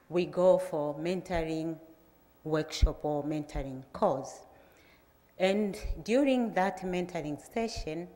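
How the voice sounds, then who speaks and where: A middle-aged Tanzanian woman with a Tanzanian accent, giving a measured, plain presentation to an audience, with frequent pauses. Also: fairly low pitch, a little mellow. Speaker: agricultural researcher giving a talk. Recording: reverberant lecture hall.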